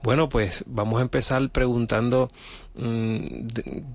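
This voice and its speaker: A man hosting a relaxed interview with music students, asking questions and adding comments with many small restarts. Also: medium pitch, measured pace, dry recording. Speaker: interview host. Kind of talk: casual interview.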